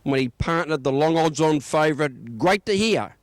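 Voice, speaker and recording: Australian male racing reporter, fairly high voice, scripted narration, close and dry recording. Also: expressive, moderate pace, no echo.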